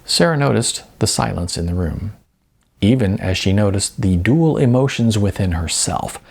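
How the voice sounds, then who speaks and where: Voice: low-pitched, lively intonation, moderate pace, creaky, darkish tone, breathy edge. A narrator reading a novel aloud as scripted storytelling. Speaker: audiobook narrator.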